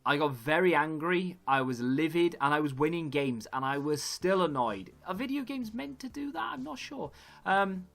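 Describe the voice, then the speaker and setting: A man, slightly hoarse, rambling through casual gaming commentary and correcting himself as he goes. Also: fairly high pitch, normal speed, fairly bright tone. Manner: rambling, self-correcting chatter. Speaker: gaming YouTuber.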